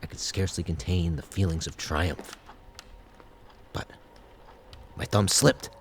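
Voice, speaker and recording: A deep male voice of an actor plays an unhinged, obsessive killer narrating his confession. The delivery is intense and theatrical, sinking to hushed secrecy and rising to loud insistence. It is a gothic audio drama with some noise behind it. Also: a little slow. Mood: tense, secretive.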